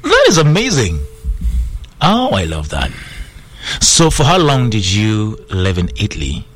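A man's voice: fairly low pitch, huge pitch swings, unhurried, a bit bright. A man from Ghana, hosting a casual interview and asking curious questions. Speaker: interview host.

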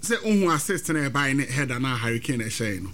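A man's voice: medium pitch, animated, brisk, a bit bright. A man with a Ghanaian accent discussing football, mixing Twi and English. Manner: mixes Twi and English, thoughtful. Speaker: sports pundit. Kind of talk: casual sports chat.

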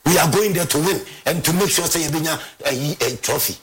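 A Ghanaian man rants with a heated, scornful edge, circling back to the same words again and again. His voice sits high and sounds bright and sharp. Underneath it is very husky and raspy. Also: a little fast. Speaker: football fan commentator. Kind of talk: angry rant.

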